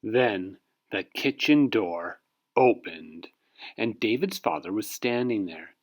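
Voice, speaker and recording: Slow, very animated male storybook reader. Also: fairly low pitch. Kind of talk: expressive children's read-aloud narration.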